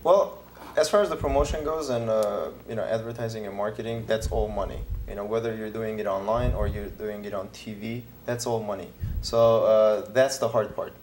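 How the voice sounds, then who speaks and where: A man's voice: lowish voice, fairly quick, a little mellow, slight background noise. Earnest man in an echoey studio. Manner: earnest, hesitant, many fillers and restarts. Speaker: filmmaker guest. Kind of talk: casual TV interview.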